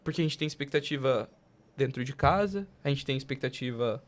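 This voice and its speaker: A woman interviewing in a casual, colloquial way, with informal, slightly halting phrasing. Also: extremely low pitch, expressive. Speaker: podcast interviewer.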